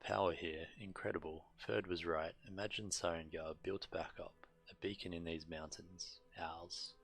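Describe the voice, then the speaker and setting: An actor playing a gruff, resolute male warrior in a fantasy video game, with a gravelly voice and terse, dramatic phrasing. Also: deep voice, steady tone, normal speed, a bit bright, exaggerated voice. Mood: awed, intense. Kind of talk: video game character dialogue.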